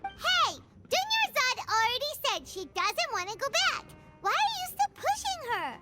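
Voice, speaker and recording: A woman voicing a tiny, cheerful and outspoken fairy-like girl companion in a game, with a squeaky childlike voice. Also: very animated, a little slow, over loud music, exaggerated voice. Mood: indignant. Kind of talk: anime-style game voice acting.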